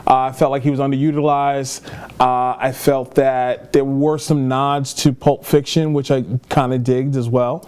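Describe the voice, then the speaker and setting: A man's voice: medium pitch, flat delivery, normal speed, a little mellow, clear, resonant tone. A man co-hosting a film podcast in casual chat, rambling and repeating himself for emphasis. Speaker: podcast co-host reviewing films.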